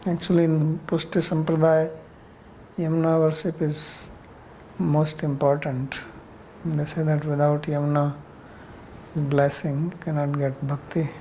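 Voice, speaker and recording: A man's voice: on the high side, flat delivery, unhurried, a little mellow, slight background noise, poor audio. A man with an Indian accent leading an informal class discussion as a spiritual teacher, answering questions bluntly and challengingly with rhetorical questions.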